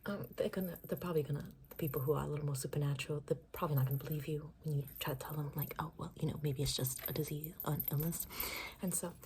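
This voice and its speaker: A woman doing an improvised ASMR makeover roleplay, mixing soft breathy whispers with murmured speech in a playful, rambling, soothing way. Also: deep voice, steady tone, racing, a little hiss or hum.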